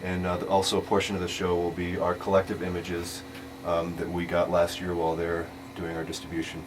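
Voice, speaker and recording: A man's voice: deep voice, completely flat delivery, fairly quick. A man giving information as a charity volunteer in a radio interview. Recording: faintly noisy radio interview recording.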